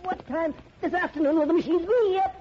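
A meek, easily cowed shopkeeper in an old radio crime play. His voice sits high and he stammers politely. The recording is dull and vintage with a faint noise. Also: brisk, a little mellow. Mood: frightened protest. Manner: hesitant, stammering, fussily polite. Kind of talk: 1950s radio crime drama acting.

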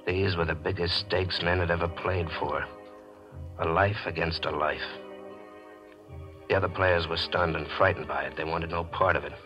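A muffled old radio recording with prominent music carries an actor as a bitter small-time gambler. He is a low-voiced American man, tense and deliberate, narrating his own suspense tale in 1940s style. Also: fairly even intonation, lightly acted. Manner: tense, dramatic, deliberate storytelling. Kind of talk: 1940s radio drama acting, first-person suspense narration. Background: dramatic music.

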